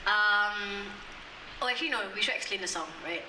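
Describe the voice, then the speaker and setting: A woman's voice: medium pitch, moderate pace. Young woman poet, echoey and far. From Singapore. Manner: chatty explaining, filled with ums between recited poems. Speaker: spoken word poet. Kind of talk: poetry performance and chat. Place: outdoor courtyard. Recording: echoey, distant, noisy outdoor recording.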